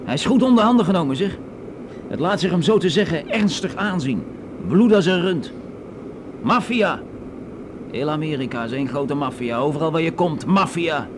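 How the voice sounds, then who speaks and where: A man acting a blustering, confrontational passenger in a radio drama, with a highish voice that leaps around. His talk is slangy and repetitive, full of paranoid insistence, over loud aircraft cabin noise. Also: expressive, moderate pace, lightly acted. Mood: agitated, insistent. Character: pushy, cagey male passenger spinning paranoid mafia tales. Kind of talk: Dutch radio play acting.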